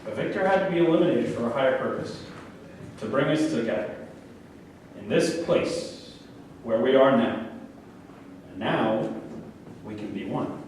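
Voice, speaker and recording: A man's voice: medium pitch, expressive, measured pace, long pauses, a little mellow, close to an everyday voice. Man acting a deluded, obsessive killer, echoey and distant. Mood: soft, obsessive. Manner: grandiose, condescending, pleading. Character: deluded, obsessive convicted male killer, preachy and self-righteous. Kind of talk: staged play reading. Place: echoey room at a staged reading, far mic. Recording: very echoey, distant, faint room noise.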